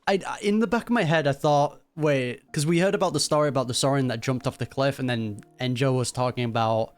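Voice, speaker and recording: A man's voice: medium pitch, brisk. A man giving informal, slangy reaction commentary as a gaming streamer. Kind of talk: casual playthrough reaction commentary. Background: game music.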